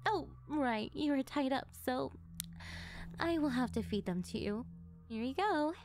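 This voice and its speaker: A woman playing a sweet, doting middle-aged mother who is a calmly menacing kidnapper, speaking in a sugary, unhurried ASMR roleplay style. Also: high voice. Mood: sweet, chatty. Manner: sugary, unhurried, calm about disturbing things.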